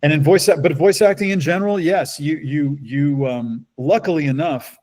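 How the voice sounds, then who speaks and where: A middle-aged man with a low bass-baritone voice, a voice actor talking at length in a casual interview. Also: normal speed. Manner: relaxed, talkative, storytelling. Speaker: voice actor guest.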